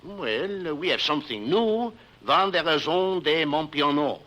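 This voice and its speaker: An actor playing an eager, fussy French restaurant waiter with a French accent in 1950s radio comedy. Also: male voice, on the high side, animated, unhurried, bright, forceful tone. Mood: suggesting. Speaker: comic supporting actor. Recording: noisy old radio recording.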